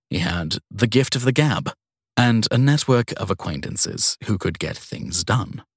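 A man narrating a first-person crime story as an audiobook, in an expressive storytelling manner. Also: fairly low pitch, dry recording, clean studio sound.

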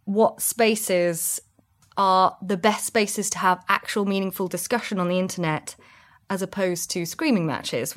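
A woman co-presenting a podcast, explaining things in a casual, chatty panel discussion. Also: mid-range voice.

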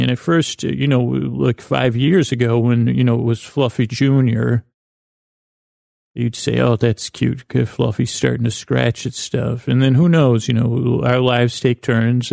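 A middle-aged man with an American accent and a creaky voice, hosting a sleep podcast with a halting, meandering bedtime monologue that keeps digressing. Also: medium pitch, darkish tone, no room sound, studio quality. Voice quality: creaky, gravelly.

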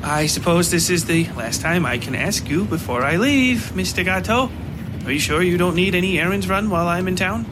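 Errand runner, male narrator performing: raised pitch, deferential, nervy, eager storybook servant. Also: animated, normal speed, background noise. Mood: eager, polite. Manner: polite, anxious, hesitant. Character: eager, nervous young errand man, politely deferential.

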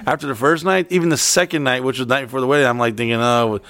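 A man's voice: medium pitch, fairly even intonation, rapid-fire, fairly bright tone, no room sound. A man in casual chat, telling a rambling, swear-heavy story.

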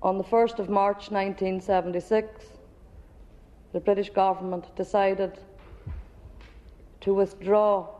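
Flat-voiced woman speaking in an echoing hall. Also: medium pitch. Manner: deliberate, explanatory speech. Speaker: speaker at a workshop. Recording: dull, echoey hall recording.

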